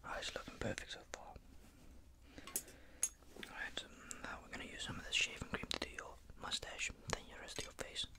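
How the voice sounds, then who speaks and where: An ASMR performer speaks entirely in a breathy whisper, playing a barber. The delivery is casual and chatty, rambling along as small talk with a customer in the chair. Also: a little fast.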